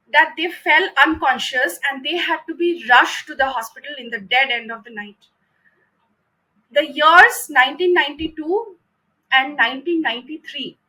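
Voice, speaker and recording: A woman's voice: high-pitched, bright, forceful tone, poor audio. A woman giving a prepared political commentary in a formal, emphatic manner, repeating phrases for stress. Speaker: commentator presenting own research.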